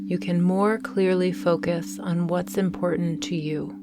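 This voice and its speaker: A woman guiding a mindfulness meditation in a calm, soothing, measured way, leaving long pauses between phrases. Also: lowish voice, very noisy. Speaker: meditation guide. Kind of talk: guided mindfulness meditation narration.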